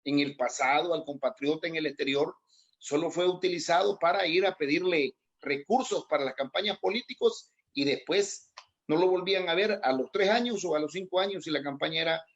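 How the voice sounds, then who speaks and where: A middle-aged man with a Salvadoran accent and a fairly high-pitched voice. He answers as a guest in a political interview, haltingly, often doubling back on his words as he explains. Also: muffled recording. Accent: Salvadoran Spanish. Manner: hesitant, halting delivery with repeated words. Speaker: interview guest, aspiring legislative candidate.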